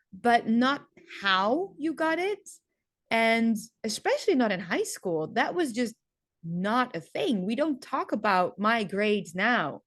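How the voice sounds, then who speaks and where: A woman's voice: mid-range voice, no room sound. Chatty woman teacher, dry close sound. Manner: chatty, loose, with frequent "like" and "um". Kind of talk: casual reflective monologue.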